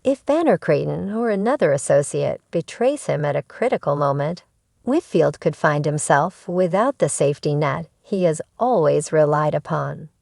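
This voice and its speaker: A woman's voice: sits low, expressive, normal speed, vocal fry, darkish tone, no room sound, clean studio sound. A woman narrating a scripted entertainment news video.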